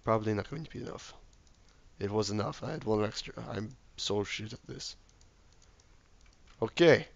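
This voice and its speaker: A man with a sore throat and a slightly hoarse voice, casually commentating his game while he builds, mumbling and thinking aloud to himself. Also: lowish voice, moderate pace, pauses often, no room sound, muffled recording. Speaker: let's play gamer.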